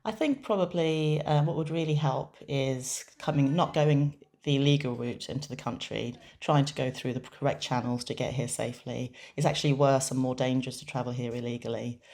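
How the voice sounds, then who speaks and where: A woman interpreting a deaf guest's answers in the first person, measured, with frequent pauses and restarts. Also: rumbling low, fairly even intonation, a little mellow.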